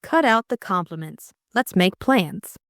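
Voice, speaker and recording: A woman's voice: medium pitch, animated, unhurried, fairly bright tone, no echo, clean studio sound. A woman voicing a spirited upper-class young woman in an audiobook drama, direct and no-nonsense. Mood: brisk, impatient.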